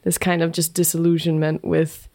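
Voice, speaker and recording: A woman whose first language is Danish, talking in a casual book discussion with quick, thoughtful remarks and many likes. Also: low-pitched, monotone, gravelly, darkish tone. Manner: quick, thoughtful, uses "like" a lot. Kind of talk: casual book club chat.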